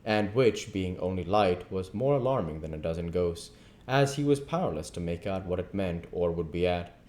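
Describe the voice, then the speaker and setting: Deep-voiced man reading a classic story. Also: darkish tone. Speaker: audiobook reader. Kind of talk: literary storybook narration.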